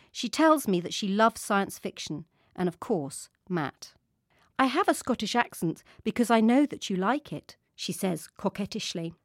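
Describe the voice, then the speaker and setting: A woman narrating a scripted radio news feature in a calm, wry storytelling manner. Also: medium pitch, dry recording, studio quality. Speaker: radio correspondent.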